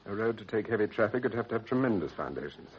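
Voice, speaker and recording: An actor playing an anxious, guilt-ridden British husband in a period radio drama, speaking in a clipped, grave and troubled way. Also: male voice, on the low side, expressive, fast, husky. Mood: grim, worried. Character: anxious guilt-ridden husband hiding a body, adult man. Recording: dull, band-limited vintage radio recording.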